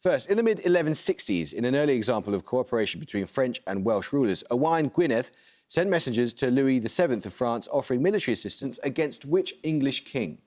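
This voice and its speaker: Brisk male quizmaster reading questions. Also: medium pitch, very animated, darkish tone.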